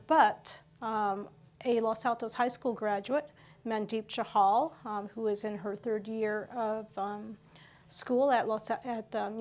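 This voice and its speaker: Chatty woman reading local news. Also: a little high. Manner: conversational, many fillers.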